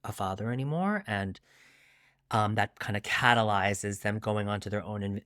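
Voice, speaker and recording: A man's voice: on the low side, a bit bright, clean tone, no echo, clean studio sound. A man in his late forties answering interview questions conversationally as a debut novelist, reflective and a little self-deprecating.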